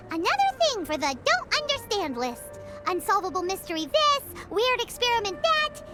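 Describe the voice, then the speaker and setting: A woman voicing a tiny, childlike female fairy companion in a video game, pouty, excitable and blunt, with a squeaky voice. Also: unhurried, heavily acted. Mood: grumbling, bored. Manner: refers to herself in third person, complaining. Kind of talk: English video game character dialogue. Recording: music behind the voice.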